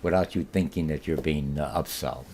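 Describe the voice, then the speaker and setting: A man's voice: very deep voice, a little fast, vocal fry, a little mellow, a little hiss or hum. A man with a Polish accent, whose first language is Polish, giving a recorded college marketing lecture, rambling with many fillers and restarts.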